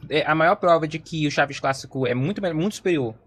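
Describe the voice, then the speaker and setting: Rapid-fire Brazilian man, emphatic, slightly high voice. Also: a bit bright. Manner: emphatic, repeats phrases for stress. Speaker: reaction video host. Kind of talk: casual opinionated reaction commentary. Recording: clear background noise.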